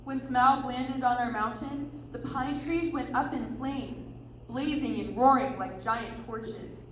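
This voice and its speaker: A woman narrating a stage story in a dramatic storybook style, with emphatic, deliberate phrasing. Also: on the high side, fairly even intonation. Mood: storytelling. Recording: echoey room from far off, faint noise.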